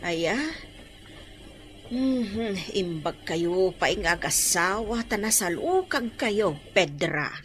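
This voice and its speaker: An actress with an Ilocano accent playing a kindly older woman neighbour in an expressive radio drama style. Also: mid-range voice, very slow. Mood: kindly, approving.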